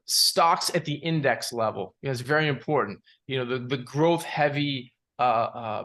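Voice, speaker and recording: A man giving unscripted analysis as a market analyst, in a halting way, repeating words and padding with "you know". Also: mid-range voice, a bit bright. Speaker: market analyst presenting charts. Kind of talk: unscripted financial chart commentary.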